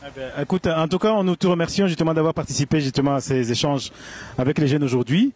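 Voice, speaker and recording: Male street interviewer, brisk quizzing, husky and quick, handheld mic outdoors. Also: on the high side, a little mellow. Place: outdoors on a city square.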